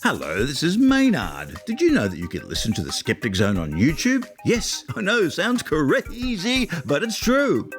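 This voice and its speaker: A man's voice: a little high, huge pitch swings, normal speed, few pauses. A man reads a scripted podcast promo as an announcer, in a showy, playful way. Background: music.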